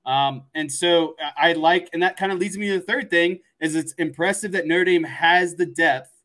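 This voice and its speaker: A man's voice: a little high, moderate pace, sharp tone. A man co-hosting a sports show, laying out casual analysis point by point in an explanatory way. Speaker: sports podcast co-host.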